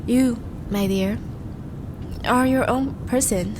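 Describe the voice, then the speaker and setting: Woman acting a slow, courtly, charming vampire. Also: on the high side, noisy background. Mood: earnest, reassuring. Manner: formal, courtly, gentle phrasing with soft sighs. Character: courtly, charming vampire, tender and devoted suitor. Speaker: audio roleplay voice actor. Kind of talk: scripted romantic audio roleplay, addressed to the listener.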